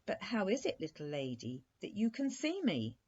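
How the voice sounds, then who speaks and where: Woman reading as a courteous, wistful storybook prince, mellow. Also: mid-range voice, huge pitch swings, normal speed, husky, pitched above the performer's own voice. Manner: polite, plaintive. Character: courteous, wistful young fairy-tale prince. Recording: dull, muffled lo-fi recording.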